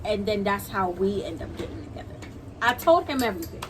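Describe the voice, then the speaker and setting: A young adult woman in casual storytime chat, slangy and halting, repeating phrases for emphasis. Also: mid-range voice, expressive. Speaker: video creator, guest co-storyteller. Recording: clearly noisy home video recording.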